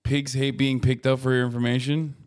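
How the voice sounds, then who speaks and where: Man, thirties, smooth clear voice, livestream host, casual reactions, close mic. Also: mid-range voice, flat delivery, brisk, a little mellow.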